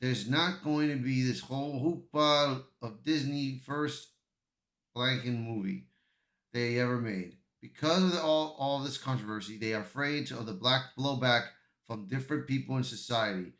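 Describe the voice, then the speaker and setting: An echoey room surrounds this man's voice, which sits at an ordinary middle pitch. He works through amateur news commentary as a host, reading aloud and reacting, often tripping over words and starting them again. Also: a bit bright. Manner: halting, stumbling delivery with repeated words. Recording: echoey, roomy sound.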